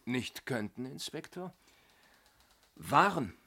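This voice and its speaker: A man's voice: mid-range voice, sing-song, slow, sharp tone, breathy edge, full character voice. An actor playing a shrewd detective reporting to his inspector in a laconic, knowing way, in a 1970s radio play. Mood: wry, confident.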